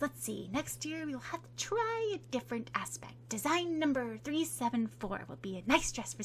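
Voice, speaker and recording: A woman voicing a cheerful, dreamy teen girl who chatters in a bright, high voice. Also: slight background noise, heavily acted.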